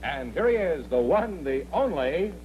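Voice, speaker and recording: Polished male announcer, lively pitch. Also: measured pace, lo-fi sound, soft background music. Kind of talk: radio-TV announcer and sponsor read.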